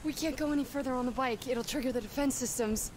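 A woman's voice: sits high, fast, background noise, close to an everyday voice. A woman playing an earnest, resourceful young woman in a video game, with natural delivery. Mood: urgent. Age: young adult. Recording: music behind the voice.

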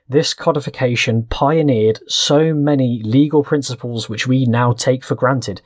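A man's voice: mid-range voice, a little mellow, no room sound, clean studio sound. A man with a British accent, narrating a scripted video essay as its host. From Britain.

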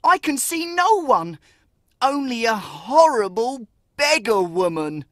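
A woman's voice: on the high side, very animated, takes it slowly, dry recording, clean studio sound. A woman voicing a proud young boy in a storybook audiobook, sneering and scornful. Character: proud young boy, vain and cruel, later remorseful. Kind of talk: audiobook character acting.

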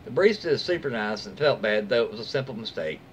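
A middle-aged American man reads aloud casually, like a host chatting with his followers. His mid-pitched voice moves up and down in a lively way, with informal, folksy asides. He is recorded at home with faint noise in the room. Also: fast. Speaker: host reading online stories aloud. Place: small room at home, indoors.

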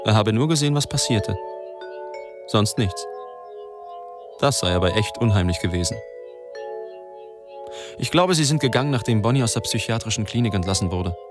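A man's voice: on the low side, animated, moderate pace, pauses often, over music, natural voice. An actor playing a wry, divorced newspaper reporter who narrates his own story in a radio drama. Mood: reflective.

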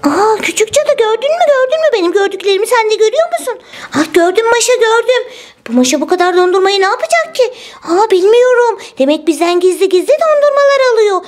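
Woman as sneaky, greedy, high-pitched little schemer. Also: expressive, quick pace, a little mellow. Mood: scheming. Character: sneaky, greedy little witch and girl sidekick. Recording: music bed under the voice.

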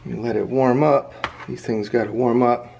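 A man's voice: medium pitch, fairly even intonation, normal speed, vocal fry, background noise. A man working as an HVAC technician, explaining his steps in a casual, chatty tutorial while he works. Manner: laid-back, chatty, talking while working. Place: outdoors beside an AC unit, later indoors.

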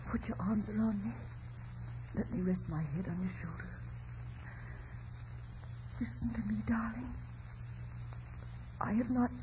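A woman's voice: fairly high pitch, dark tone, a little hiss or hum. An actress playing a tender, sorrowful woman in a radio melodrama, earnest and theatrical. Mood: tender, fading. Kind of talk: 1950s radio drama acting. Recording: dull old radio broadcast, music behind.

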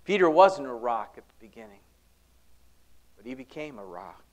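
A middle-aged man preaching a sermon and reading scripture aloud, lifting his voice on key words and dropping it low at the ends of phrases. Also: very animated, moderate pace, a little mellow. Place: church classroom with projection screen, indoors.

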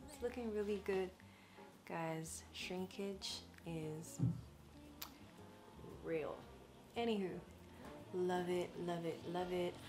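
A woman in her twenties from Ghana chatting casually to her viewers as a vlogger, in an affectionate, talkative manner. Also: medium pitch. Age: 20s. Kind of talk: casual chat to camera. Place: rooms at home, indoors. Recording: echoey rooms at home, music bed.

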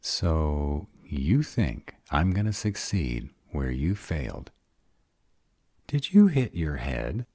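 Man playing a very deep-voiced sarcastic vampire. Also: very animated, slow, a little mellow, dry recording, studio quality, heavily acted. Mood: sarcastic, incredulous. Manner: sarcastic, wry. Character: sarcastic grown male vampire, guarded, insecure, starved of love. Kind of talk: audio roleplay acting.

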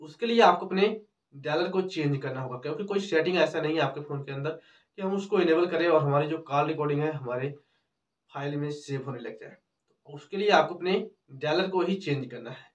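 A man with a fairly high voice presents a step-by-step tutorial, going over points repeatedly. He sounds set back from the microphone in a very echoey room. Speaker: tech tutorial host. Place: small indoor room with cloth backdrop. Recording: roomy, distant, dull microphone sound.

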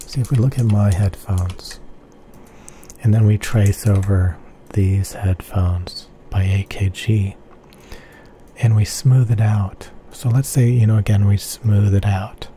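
A man explaining headphone measurements slowly and softly, repeating his phrases and often dropping into an unvoiced whisper. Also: on the low side, a little hiss or hum. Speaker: headphone reviewer. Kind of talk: slow whispery ASMR-style tech explanation.

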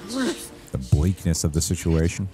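A man's voice: low voice, unhurried. A man co-hosting a reaction show on a headset mic, casually chatting and exclaiming over game footage. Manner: excited exclamations and swearing. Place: indoor studio. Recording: music in background. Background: loud game soundtrack.

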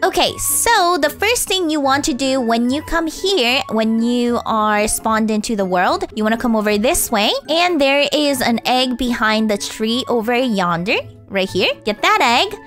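A woman's voice: on the high side, animated, unhurried, a bit bright, faint music behind. A woman casually narrating a game walkthrough, guiding viewers step by step, her voice tired and strained. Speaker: gaming channel host.

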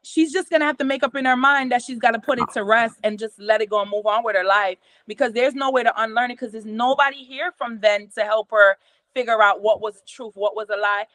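A middle-aged woman with a patois accent talks on a video call from a small room at home, her fairly high voice sounding dry and close. She explains things in a steady, teaching way, with patois as her first language showing through her English. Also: brisk, no room sound. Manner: explanatory, steady, gestures a lot with her hands. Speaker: host. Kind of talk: casual conversation explaining therapy methods. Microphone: video call from a computer camera.

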